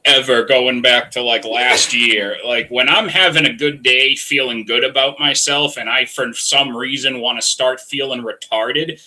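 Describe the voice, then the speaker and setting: Chatty guy with a really bright sharp voice, cracking jokes about his wife. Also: mid-range voice, fairly even intonation, a little slow, few pauses, slight background noise, rough recording. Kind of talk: casual chat.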